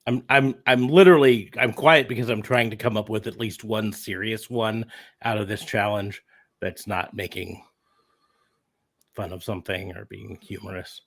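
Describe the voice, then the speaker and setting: A man's voice: fairly low pitch, fairly even intonation, normal speed, fairly bright tone. A man hosting a podcast in casual banter, joking and thinking aloud with hesitations. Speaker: podcast host. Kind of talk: casual wrestling fantasy booking chat.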